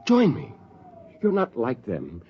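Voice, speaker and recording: A man's voice: mid-range voice, wildly swooping pitch, slow, dark tone. Bitter rebel spaceman, dramatic swings. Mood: defiant, intense. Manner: brooding monologue, intense and defiant. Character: bitter, haunted rebel male astronaut turned killer. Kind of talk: 1950s radio drama acting. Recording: dull, hissy 1950s radio recording.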